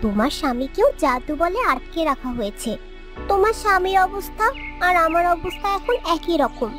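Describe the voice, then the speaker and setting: A tiny, very high voice belongs to a captive young fairy in bird form, acted by a woman. She speaks earnestly and helpfully, in fairy tale cartoon style, with faint music underneath. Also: lively intonation, moderate pace, a bit bright. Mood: sorrowful, earnest.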